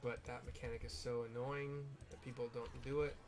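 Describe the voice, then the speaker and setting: A man giving casual, explanatory game commentary, describing the scene in a halting way with trailing asides. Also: mid-range voice, little pitch movement, faint music behind. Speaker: gamer narrating a raid playthrough.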